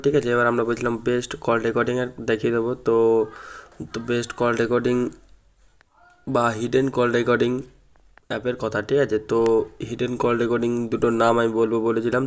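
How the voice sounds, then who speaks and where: Male tech-tips host, very fast informal delivery with a flat, narrow pitch. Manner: repeatedly adds a checking tag to statements. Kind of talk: informal tutorial presentation.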